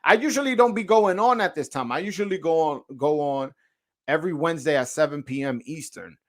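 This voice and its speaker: Man, New York accent, livestream host, casual slangy chat, frequent restarts, fairly high pitch. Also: fairly quick, fairly bright tone. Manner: slangy, profane, lots of stammered restarts. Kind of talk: casual livestream chat, reacting to viewer comments.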